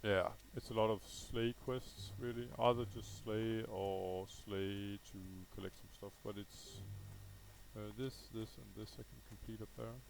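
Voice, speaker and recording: A man's voice: lowish voice, fairly even intonation, a little slow, gravelly, slight background noise, low-quality recording. A man with a Danish accent, a native Danish speaker, gives casual unscripted gameplay commentary, thinking aloud hesitantly and correcting himself as he goes. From Denmark. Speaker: gaming video host.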